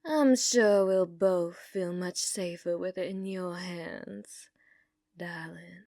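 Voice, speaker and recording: A woman playing a flirtatious, teasing, dominant female bounty hunter in a western ASMR roleplay, coaxing with drawn-out endearments. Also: on the low side, dark tone, no room sound, clean studio sound. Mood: soft, teasing. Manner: coaxing, drawling endearments, drops into breathy whispers. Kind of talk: ASMR roleplay, scripted character acting.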